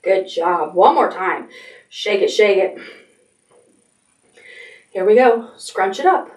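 A woman's voice: mid-range voice, expressive, takes it slowly. A middle-aged woman leading a toddler storytime, giving simple, repeated instructions with encouragement. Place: indoor room decorated with stuffed animals. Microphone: camera a few metres away. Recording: roomy, distant from the mic.

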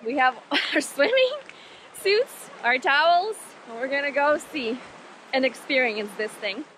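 A woman's voice: high voice, expressive, a little slow, fairly bright tone, a little hiss or hum, rough recording. A woman in her thirties filming a casual family travel vlog outdoors by a river, chatting and laughing easily into a handheld camera. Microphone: handheld action camera at arm's length. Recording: background music.

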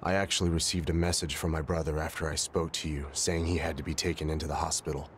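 A deep-voiced polite young brother. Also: male voice, little pitch movement, fast, slight background noise. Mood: subdued. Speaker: game character. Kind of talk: game cutscene voice acting.

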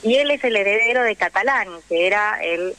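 A woman with an Argentine Rioplatense accent, explaining things in an animated, conversational way as a guest in a radio interview. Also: medium pitch, fairly quick. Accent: Argentine Rioplatense Spanish. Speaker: interview guest, actress and theatre teacher.

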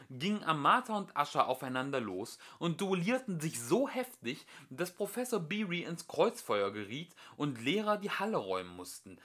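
Male amateur audiobook reader. Bright tone, very animated melody, theatrical emphasis on a scripted storybook text. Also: fairly high pitch. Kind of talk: scripted storybook narration, reading aloud.

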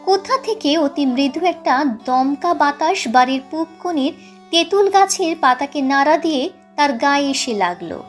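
A woman's voice: high-pitched, lively intonation, normal speed. A woman reading a scripted literary story as an audiobook narrator, with instrumental music behind her. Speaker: channel host and story narrator.

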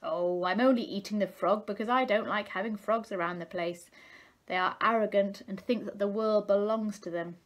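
A woman's voice: medium pitch, moderate pace, natural voice. Woman voicing a sly, mocking male crab. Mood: smug, coaxing. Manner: mocking, wheedling.

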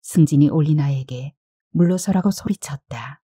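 Female narrator for literary fiction, low and husky, recorded dry and close. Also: moderate pace, dark tone, dry recording. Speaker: audiobook narrator. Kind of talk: scripted literary story narration.

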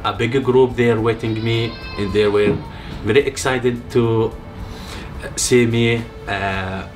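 A man in his thirties from Syria with a Syrian Arabic accent, speaking Arabic-inflected halting English in a living room interview, telling his story softly with many pauses. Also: mid-range voice, fairly even intonation, faint music behind. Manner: halting non-native English, soft-spoken, many pauses. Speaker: refugee sharing his story. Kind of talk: personal testimony interview. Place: living room, seated on a couch. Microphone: off-camera mic, medium framing.

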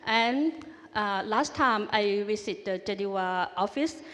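A woman's voice: mid-range voice, animated, a bit bright. Woman, audience questioner, hesitant English, long hall reverb. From Thailand. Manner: halting, many restarts and fillers. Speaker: audience member asking a question. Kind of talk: question at a forum. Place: large conference hall.

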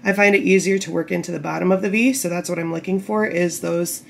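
A woman getting over a cold, a bit croaky, patiently explaining knitting steps while working with her hands. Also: lowish voice, fairly even intonation, fast talker, slight background noise. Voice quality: slightly gravelly. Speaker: knitting tutorial host. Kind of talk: casual step-by-step instruction. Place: indoor table, camera over hands.

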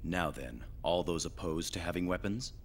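An actor playing a stern, skeptical young male space cadet in an animated film dub, with an authoritative manner. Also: low-pitched, moderate pace. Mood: commanding.

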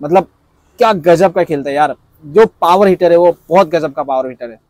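A young man from India, talking excitedly to camera about cricket with animated, repetitive phrasing and a lapel mic. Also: fairly high pitch, normal speed, dry recording. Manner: animated, repetitive, gestures with hands. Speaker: cricket reaction vlogger. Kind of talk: excited casual commentary to camera. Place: indoors in front of a backdrop screen.

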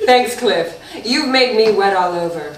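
A woman's voice: medium pitch, expressive, a little slow, heavy background noise, natural voice. A woman playing a self-absorbed, suggestive TV news anchor with a polished delivery in a live comedy sketch. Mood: sultry, self-absorbed. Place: small theatre stage before an audience. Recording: echoey, distant stage recording, loud music.

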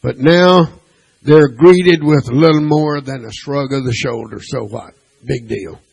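Male preacher in a church, slow and deliberate, gravelly husky voice, keeps tossing in amen. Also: mid-range voice, darkish tone, low-quality recording. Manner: preaching with frequent "amen" and call-and-response questions; reads scripture aloud in King James wording. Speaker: pastor. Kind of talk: sermon. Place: church sanctuary.

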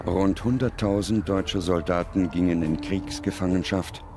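A man delivering grave, measured scripted narration as a documentary narrator. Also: sits low, fairly even intonation, background noise.

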